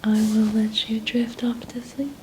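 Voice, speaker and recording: A woman whispering in a slow, soothing manner in an ASMR roleplay as a healing practitioner. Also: fairly high pitch, fairly even intonation, noisy background.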